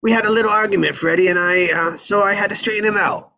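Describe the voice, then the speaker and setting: A man's voice: high-pitched, fast, creaky, sharp tone, heavily acted, poor audio. A man voicing a brash, combative mob casino boss in a script read, with a blustering, punchy delivery. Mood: angry, blustering. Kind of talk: live table read of a crime film script.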